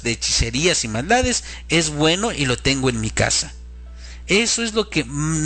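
A man with a fairly high voice and a very bright, forceful tone delivers a religious talk. He sounds earnest and instructive, addressing his listener directly as a middle-aged teacher of the faith would. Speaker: religious programme host. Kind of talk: religious talk warning against children's cartoons.

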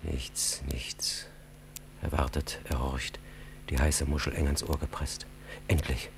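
A man narrating a radio drama in a terse, intense, dramatic style, hushed at times. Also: rumbling low, fairly even intonation, slow, gravelly, whispered, exaggerated voice. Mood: tense, hushed. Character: tense storyteller of an officer's ordeal. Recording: music behind the voice.

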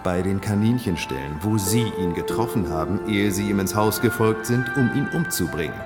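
An actor playing a skeptical, exasperated defence lawyer, formal and insistent, in a German radio drama. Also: male voice, on the low side, expressive, moderate pace, over loud music, close to an everyday voice.

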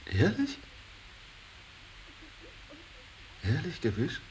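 An actor playing an embittered, idealistic painter in a radio drama, speaking solemnly and rhapsodically, moving between hushed reverence and outbursts. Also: male voice, on the high side, huge pitch swings, moderate pace, poor audio. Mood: earnest, awed. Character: Lachmann, embittered, idealistic male painter revering his old master. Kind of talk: 1980s German radio drama acting.

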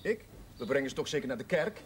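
Actor as an eager curate, distant and echoey. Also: male voice, medium pitch, lively intonation, fast talker, natural voice. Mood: enthusiastic. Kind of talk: television period drama acting. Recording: echoey, distant, clearly noisy TV soundtrack.

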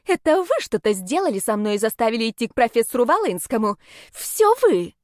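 Shrill, spiteful schoolgirl witch voiced by a woman reader, melodramatic accuser, high and swooping, audiobook fantasy. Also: animated, moderate pace, no room sound, studio quality. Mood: furious accusing.

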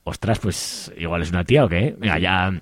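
Low-pitched easygoing Spanish guy, acted by a man. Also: brisk, no echo, studio quality, natural voice. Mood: reassuring, casual. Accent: Spain. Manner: colloquial, hesitant, repeats words. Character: young male activist, easygoing, dismisses worries, curious. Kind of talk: amateur radio serial acting.

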